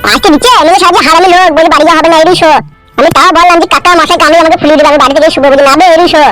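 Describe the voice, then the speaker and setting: A woman voicing an excitable, bickering villager in a comedy cartoon, whiny, with a pitched-up, sped-up voice. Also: very fast, soft background music. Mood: pleading. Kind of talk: exaggerated cartoon comedy dialogue.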